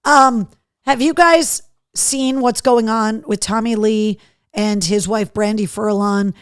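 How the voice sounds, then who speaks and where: Female livestream host, middle-aged, somewhat high voice with a slight hoarseness, candid and cheeky chat, close dry sound. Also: fairly even intonation, slow, no echo. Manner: candid, cheeky, mildly sweary. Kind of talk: casual live chat to viewers, reading out comments.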